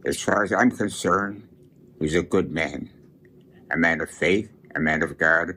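A man over a hundred years old, a priest, reminiscing in an interview in plain, halting short phrases. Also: low voice, bright, forceful tone, breathy edge. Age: over 100. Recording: noisy on-location interview recording.

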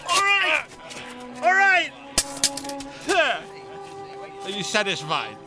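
Jeering, high-pitched London bully. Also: male voice, huge pitch swings, slow pace, over music, exaggerated voice. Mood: aggressive, angry. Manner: taunting, sneering. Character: jeering, bigoted London bully, mocking and menacing. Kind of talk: radio drama acting.

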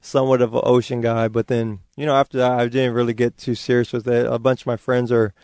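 A young man from Hawaii, raised speaking Hawaiian, chats easily as an interview guest with lots of fillers. His voice is smooth and resonant, close on a dry studio mic. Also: mid-range voice, fast, dry recording. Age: 20s. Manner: relaxed, easygoing, many fillers. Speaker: football player guest. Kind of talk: casual interview chat.

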